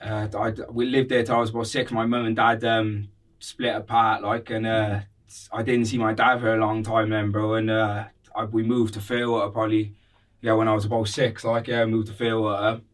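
Low, even-toned Cardiff man, slightly distant. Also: moderate pace. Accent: Cardiff Welsh. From Cardiff, Wales. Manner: informal, slangy, repeats phrases, frequent 'like' and 'bro'. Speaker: podcast guest, rapper. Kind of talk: casual conversational storytelling.

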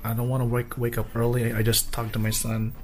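A man with a hoarse voice talking casually in a vlog, rambling between thoughts. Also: medium pitch, completely flat delivery, a little fast, faint music behind. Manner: rambling, with fillers. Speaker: vlogger.

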